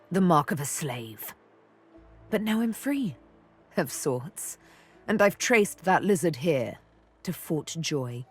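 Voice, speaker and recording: A woman's voice: deep voice, breathy edge, heavily acted. A woman voicing a sly elf rogue in a video game, playful and flirtatious with a theatrical, threatening edge. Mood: cold, menacing. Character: sly elf woman rogue, former slave, teasing and menacing. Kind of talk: video game character acting.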